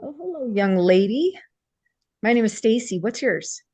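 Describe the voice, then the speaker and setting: A woman's voice: a little high, very animated, a little slow, darkish tone, slightly rough, no room sound, exaggerated voice. A woman playing a kind, encouraging young woman in a drama table read. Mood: friendly.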